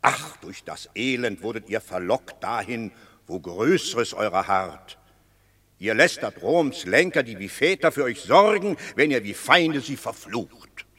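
An actor playing a wily, eloquent Roman patrician in a radio drama, theatrical and rhetorical, placating rebels with fables. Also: male voice, medium pitch, lively intonation, husky. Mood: declaiming, admonishing. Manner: theatrical, deliberate, rhetorical, swinging from hushed to declaiming. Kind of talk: classical German stage-style radio drama acting. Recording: long reverberant hall.

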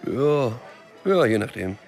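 An actor playing a stubborn, wary small-time drug dealer and loner in a radio crime drama, curt, dry and cynical. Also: male voice, medium pitch, very animated, takes it slowly, a little mellow, faint music behind. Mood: guarded, dry.

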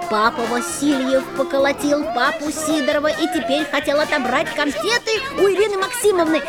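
A mischievous schoolboy narrator. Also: female voice, extremely high pitch. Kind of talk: radio play narration. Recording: music playing behind.

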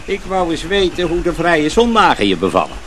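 Menacing master miller for radio play: forceful tone, wide swings, sly and commanding. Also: male voice, fairly high pitch, very animated, a little fast, a little hiss or hum. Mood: sly, probing. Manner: probing, authoritative, threatening. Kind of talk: radio drama acting.

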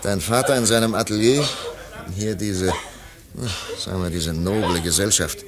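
A man playing a reflective, genteel married gentleman in a German radio play, speaking in a musing, halting, sentimental way. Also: low-pitched, steady tone, natural voice. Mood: wistful. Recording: crowd noise behind the voice. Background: crowd noise.

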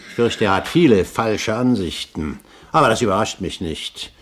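A male actor as an older gentleman pirate captain, proud and scheming. He talks in grand, eloquent phrases with the theatrical polish of 1970s German radio drama, always sounding sure of his noble rank. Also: lowish voice, expressive, moderate pace, slight background noise, natural voice. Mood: confiding, scheming.

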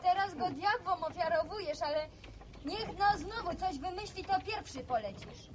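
A woman playing a lively young village woman in a radio drama, chatty and teasing with a wistful streak. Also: very high voice, normal speed, slight background noise. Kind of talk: Polish radio serial acting.

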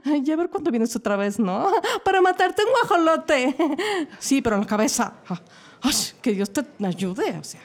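A woman's voice: high voice, very animated. Someone doing a snobbish posh Mexican lady. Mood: mocking. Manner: drawn-out whiny upper-class drawl with "o sea" and "ay". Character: snobbish posh woman, haughty and mocking. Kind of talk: radio comedy sketch.

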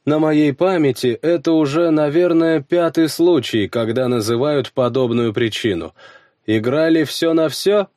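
A man's voice: fairly high pitch, a little slow, a little mellow, no room sound, studio quality, pitched above the performer's own voice, lightly acted. A man voicing a courteous middle-aged gem appraiser in an audiobook, polite, formal and precise. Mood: politely probing.

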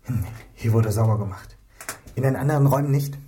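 A man's voice: medium pitch, brisk, background noise, lightly acted. A man playing a curious, cheeky helper in a police investigation, casual and wry, in a German radio crime drama. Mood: thoughtful, observant.

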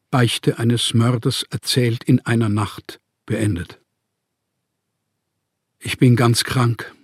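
A man's voice: medium pitch, slightly rough, no echo, studio quality. An actor playing a despairing, exhausted writer in exile, reading his letters in a radio play with weary, heavy diction.

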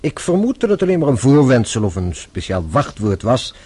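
An actor playing a shrewd French police inspector in a radio drama, formal, measured and politely probing. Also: male voice, medium pitch, sing-song, warm tone, husky. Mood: dry, measured.

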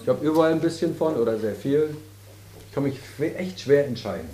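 A man's voice: fairly high pitch, expressive, fairly quick, a loud crowd. Joking German man in his fifties. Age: 50s. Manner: joking, teasing, rambling. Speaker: spiritual teacher. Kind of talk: casual talk with an audience. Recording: roomy hall with audience.

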